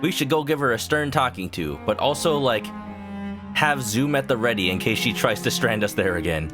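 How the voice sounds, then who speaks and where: A man's voice: mid-range voice, animated, moderate pace. A young man streaming a video game, making casual, joking commentary as he plays. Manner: chatty, joking. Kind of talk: casual let's-play commentary. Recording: voice over game music.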